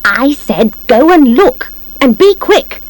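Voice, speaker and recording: A woman voicing a bossy, accusing village wife in a storybook, with sharp, loud exclamations. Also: sits high, fairly even intonation, a little slow, no room sound, pitched above the performer's own voice. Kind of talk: dramatised storybook reading.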